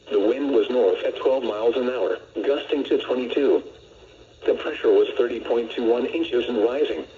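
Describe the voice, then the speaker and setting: An American man's synthetic-sounding voice reading a scripted weather report as a radio announcer, measured and impersonal. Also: medium pitch, mellow, a little hiss or hum. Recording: muffled lo-fi weather radio broadcast.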